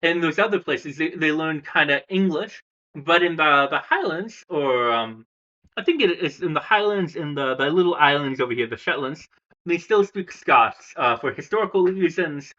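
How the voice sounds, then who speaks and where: A man from the United States rambles casually on camera, stopping and restarting his sentences with plenty of ums. His voice is bright and a touch high. The sound is dull and lo-fi. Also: animated, moderate pace. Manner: hesitant, lots of fillers and restarts. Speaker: language vlogger.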